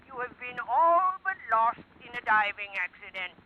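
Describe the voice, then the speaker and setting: An actor playing a pompous, crafty older seafaring villain in an old radio drama, with a florid, formal manner. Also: male voice, very high-pitched, big pitch swings, moderate pace, dull audio, crowd noise. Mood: smug, menacing. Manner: florid, formal, theatrical, chuckling. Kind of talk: old-time radio detective drama acting. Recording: band-limited noisy old radio recording.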